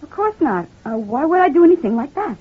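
An actress playing a distraught, halting wife in an old radio crime drama. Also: female voice, high voice, very animated. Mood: guarded. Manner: hesitant, emotional, halting. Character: distraught wife claiming she shot her abusive husband. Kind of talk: 1940s-50s radio crime drama acting. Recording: muffled, thin old-time radio recording.

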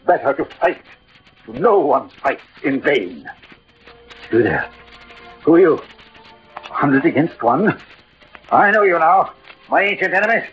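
A man's voice: a little high, very animated. An actor playing a proud, defiant, poetic dying swordsman in theatrical, declamatory 1940s radio verse style. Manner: declamatory, grandiloquent, dramatic pauses. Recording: muffled vintage radio recording, music behind.

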